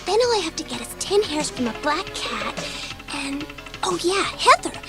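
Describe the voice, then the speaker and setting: A woman playing an impulsive, mischievous schoolgirl in a dramatised children's audiobook, eager and expressive. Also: sits high, normal speed, over music. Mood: scheming. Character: bored, daring, impulsive schoolgirl with a taste for mischief.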